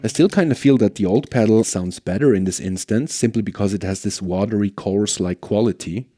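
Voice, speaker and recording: A man with a fairly low pitch and a soft, dark tone presents a product comparison. He speaks casually and conversationally, as if working out his opinion aloud. Faint guitar music sits in the background. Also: fairly quick. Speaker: gear reviewer and presenter. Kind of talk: casual product demo commentary.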